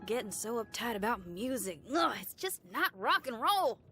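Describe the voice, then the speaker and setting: A high-pitched, brash young rocker girl from a video game. She talks in a casual, slangy, folksy way, full of enthusiasm and free-spirited friendliness. Faint music plays behind her. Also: very animated, normal speed, pushed and bright, slightly rough, heavily acted. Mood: chatty, expressive. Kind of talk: video game character voice lines.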